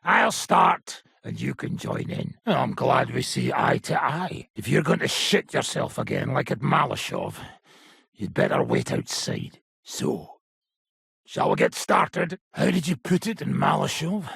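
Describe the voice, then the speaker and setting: An actor playing a gruff, middle-aged, foul-mouthed mercenary nobleman in a video game, blunt and cocky, with a raspy, gravelly voice. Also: mid-range voice, huge pitch swings, measured pace, fairly bright tone, no room sound, clean studio sound, exaggerated voice. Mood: sardonic, rough. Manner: blunt, swaggering, earthy swearing. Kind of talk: video game character acting. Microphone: close, dry studio microphone.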